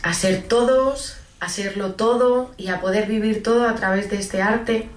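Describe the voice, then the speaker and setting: A woman, an actress earnestly presenting herself in an audition clip. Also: medium pitch. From Madrid, Spain. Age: late 20s. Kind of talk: earnest self-presentation to camera. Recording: roomy, distant, noisy self-recorded clip.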